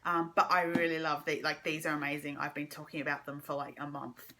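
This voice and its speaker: A young woman giving a casual, halting book review to camera, informally trailing off and circling back. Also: low voice, little pitch movement, sharp tone. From Australia.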